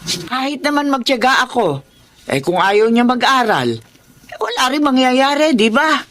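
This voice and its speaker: Casting: elderly, loving lola, homely chatty delivery, radio drama, slightly noisy track. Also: female voice, high voice, lively intonation, normal speed, fairly bright tone. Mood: proud, chatty. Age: older. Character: proud, loving old Filipino grandmother.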